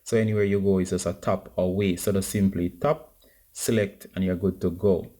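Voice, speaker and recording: A man's voice: deep voice, fairly even intonation, normal speed, mellow. A man hosting a casual step-by-step phone tutorial, speaking informally and instructively to his viewers. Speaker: tech tutorial host.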